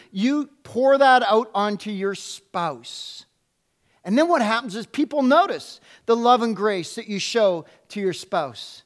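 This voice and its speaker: A man pastor preaching a sermon in a loud, emphatic voice, repeating his questions and dropping playful asides. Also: extremely high pitch.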